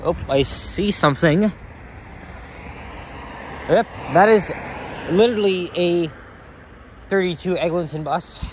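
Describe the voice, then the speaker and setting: A man's voice: sits high, takes it slowly, long pauses. A young man rambling casually as he vlogs on a walk outdoors with a handheld camera. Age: young adult. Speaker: vlogger. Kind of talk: casual walking vlog. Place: outdoors on a city sidewalk. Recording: outdoor street traffic noise. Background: cars and street ambience.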